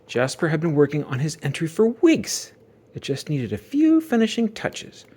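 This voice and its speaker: A man narrating a children's book aloud as dramatic storytelling, with theatrical emphasis and suspenseful pauses. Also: fairly high pitch, huge pitch swings, mellow, slightly rough.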